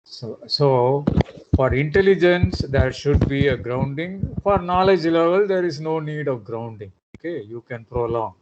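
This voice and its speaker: Male lecturer, slightly high voice, halting delivery, dull lo-fi online audio. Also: a little hiss or hum. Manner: hesitant with frequent "uh", rhetorical tag questions.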